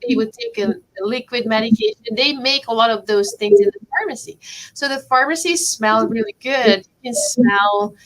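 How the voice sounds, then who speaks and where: A woman, talking to a group of kids over a video call. Her voice sits a little high. She keeps checking in with them and encouraging questions as she explains chemistry. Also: a bit bright, poor audio. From Hungary. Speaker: professor teaching a kids' chemistry camp. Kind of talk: friendly talk to children over a video call.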